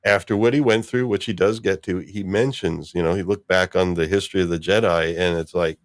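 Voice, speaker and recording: Male host, low register, dry close sound, informal rambling fan commentary. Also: a little fast, no echo. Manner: chuckling, rambling fan talk. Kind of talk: casual live-stream discussion.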